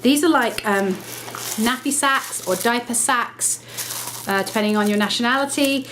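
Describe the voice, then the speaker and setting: A woman's voice: a little high, a bit bright, slight background noise, rough recording. A woman vlogging to camera, chatty and explaining things step by step, sounding slightly nasal from a cold. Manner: chatty, self-correcting, brisk explaining. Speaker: lifestyle vlogger. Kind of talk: casual talk to camera.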